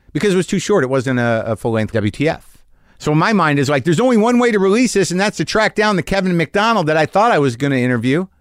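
A man's voice: on the high side, no room sound, studio quality. Rambling fifty-year-old male podcast host. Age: 50s. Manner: rambling, halting with many restarts. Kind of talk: casual podcast chat and monologue. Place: podcast recording garage.

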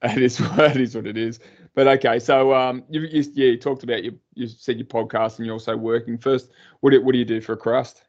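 A man's voice: medium pitch, steady tone, muffled recording. An Australian man hosting a podcast interview, chatting casually and teasing his guest, with frequent restarts. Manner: joking, teasing, halting restarts. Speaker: podcast host.

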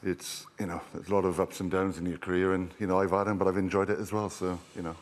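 A man's voice: sits low, little pitch movement, fast. A man in his thirties from Wales, a rugby player guest chatting casually and rambling with plenty of fillers. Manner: easygoing, rambling with many fillers. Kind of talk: casual interview chat.

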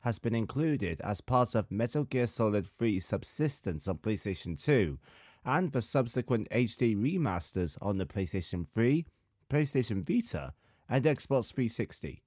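A man in his thirties, slightly hoarse with a sore throat, hosting a podcast in a measured, articulate, scripted narration style. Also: medium pitch, animated, darkish tone, no echo, clean studio sound. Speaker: podcast host, composer.

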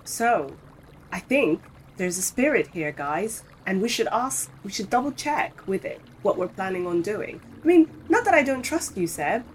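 A woman's voice: mid-range voice, normal speed, lightly acted, rough recording. A woman playing an earnest, tactful ghost investigator in a tabletop game, speaking in a hesitant, conversational way. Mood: tactful, cautious. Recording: clear steady background noise.

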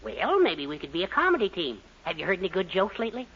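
An actress playing a bossy, persuasive schemer with theatrical, emphatic delivery in a 1940s radio sitcom. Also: female voice, fairly low pitch, big pitch swings, fast, breathy edge. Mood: scheming, persuasive. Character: bossy, scheming partner with grand showmanship. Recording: dull, noisy 1940s radio recording.

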